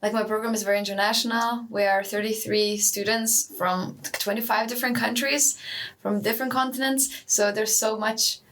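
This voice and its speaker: A woman's voice: fairly high pitch, fairly even intonation, moderate pace, fairly bright tone. A young woman from Lithuania, a graduating master's student, talking cheerfully as a podcast guest. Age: young adult. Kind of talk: casual podcast interview.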